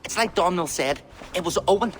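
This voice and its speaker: A gruff captive king. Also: high voice, lively intonation, brisk, slight background noise. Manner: blunt and scolding.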